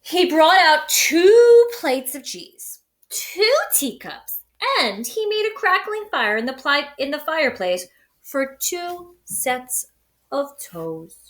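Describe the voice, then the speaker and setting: A woman reading a children's story aloud in an expressive, deliberate, dramatic way with pauses. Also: high-pitched. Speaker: storybook reader.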